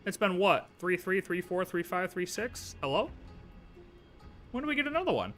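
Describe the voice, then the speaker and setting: Bright-voiced man doing casual game stream commentary. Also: sits high, big pitch swings, normal speed, faint music behind. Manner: informal, profane slang, thinking out loud. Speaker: livestreamer.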